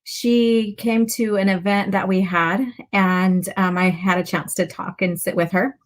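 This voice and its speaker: A woman with a slightly raspy voice hosting a casual live chat, talking to viewers in a chatty way with pauses and asides. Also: mid-range voice, steady tone. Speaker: livestream host.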